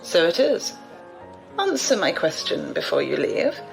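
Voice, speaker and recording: Shrewd aristocratic old magnate, condescending, amused, audio drama, slow pace, swooping intonation, faint music behind. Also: female voice, on the low side, big pitch swings, creaky, heavily acted, low-quality recording. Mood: cool, intrigued. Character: shrewd, imperious old noblewoman and corporate magnate.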